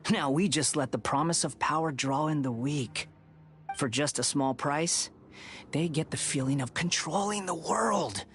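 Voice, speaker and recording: A man's voice: fairly high pitch, fairly bright tone. An actor playing a smug young male villain in a video game, mocking, theatrical and condescending. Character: smug, sneering young male villain, a high-ranking harbinger. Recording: music behind.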